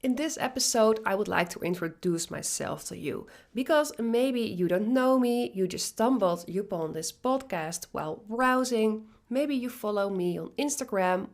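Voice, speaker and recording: Dutch woman talking english on her own podcast, earnest, mid pitch, sure of herself. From Netherlands. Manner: earnest, determined self-introduction. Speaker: business coach, podcast host. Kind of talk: solo podcast monologue.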